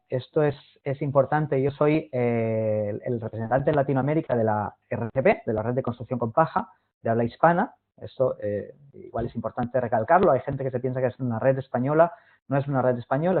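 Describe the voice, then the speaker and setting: A man giving a hesitant online presentation, full of fillers and restarts. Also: mid-range voice. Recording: tinny phone-like line.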